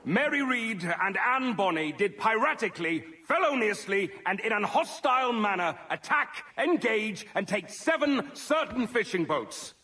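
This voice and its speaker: A male actor playing a pompous colonial court official in a game, reading out charges in a measured, declamatory manner. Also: high voice, sing-song, pushed and bright, full character voice. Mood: stern, declaiming. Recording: clear background noise.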